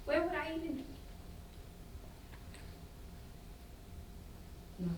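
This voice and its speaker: A woman's voice: high-pitched, sing-song, very fast. Woman acting a devoted, defensive young gal, echoey. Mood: shaken. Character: devoted, defensive, soft-hearted young woman loyal to troubled man. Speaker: student actor in a staged reading. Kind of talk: dramatic staged reading of a stage play. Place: indoor festival staged reading. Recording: echoey room, distant mic, faint noise.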